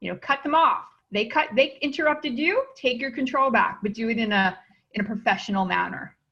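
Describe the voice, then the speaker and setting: A woman's voice: on the high side, fairly quick, vocal fry, fairly bright tone. Woman, speaking coach hosting, upbeat affirming tone, dull muffled audio. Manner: enthusiastic, affirming, many "mm-hmm"s. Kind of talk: interview host chat. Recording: dull lo-fi sound.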